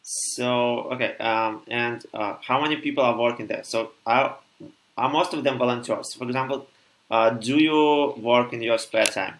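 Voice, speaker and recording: A man's voice: medium pitch, normal speed, fairly bright tone. Hesitant male interview host. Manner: hesitant, loses track of questions. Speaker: talk show host. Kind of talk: casual interview questions. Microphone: video call.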